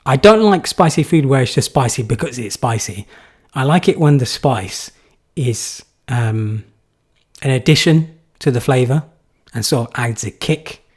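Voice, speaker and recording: A man's voice: medium pitch, lively intonation, a little slow, darkish tone, husky. A young man from England answering viewer questions in a live stream, casual and rambling with many fillers. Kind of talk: live Q&A chat.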